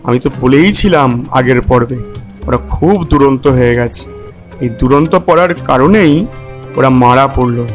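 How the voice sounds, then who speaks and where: An elderly, knowing deer godfather, played by a man gives admonishing morals in a puppet tale. The male voice is medium in pitch, with soft music behind. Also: close to an everyday voice. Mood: regretful. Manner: admonishing, preachy. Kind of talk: puppet story character acting.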